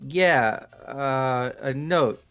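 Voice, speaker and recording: A man's voice: mid-range voice, very slow, fairly bright tone, clean tone, soft background music. A man voicing a goofy, bumbling, evasive young character in a video game read-through, hesitant and casual. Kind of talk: hobby video game voice acting.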